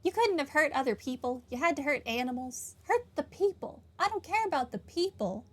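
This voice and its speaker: A woman's voice: sits high, animated, a little fast. A woman gamer giving casual playthrough commentary and sometimes reading dialogue aloud. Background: game music.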